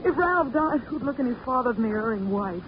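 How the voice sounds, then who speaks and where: An actress plays an unfaithful wife in a 1940s detective radio drama. Her high voice is urgent, halting and melodramatic, always on the defensive. The old recording is muffled and clearly noisy. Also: lively intonation, lightly acted. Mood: pleading.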